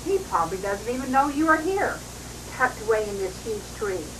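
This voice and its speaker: A woman voicing a cheerful, wise chipmunk aunt from a storybook, with brisk, emphatic encouragement, on a noisy old home recording. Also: a little high, big pitch swings, far from the mic. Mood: encouraging.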